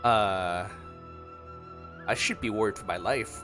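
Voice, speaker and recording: A man's voice: fairly low pitch, takes it slowly. A man giving casual, playful commentary as he plays a video game, full of offhand asides. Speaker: streamer reacting to a game. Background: game music.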